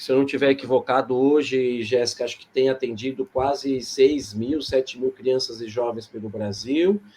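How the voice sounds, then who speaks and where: A Brazilian man hosts an informal livestream discussion. His voice sits at a medium pitch, and he explains things in a measured manner, breaking his phrases with pauses as he guides the panel. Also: a little mellow. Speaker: host and moderator.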